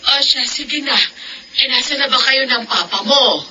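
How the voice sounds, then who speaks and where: A woman's voice: high voice, measured pace, pushed and bright, exaggerated voice. An actress playing a doting, remorseful elderly grandmother, effusive and emotional in a Filipino radio drama. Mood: warm, cheerful. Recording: dull, noisy phone-call scene.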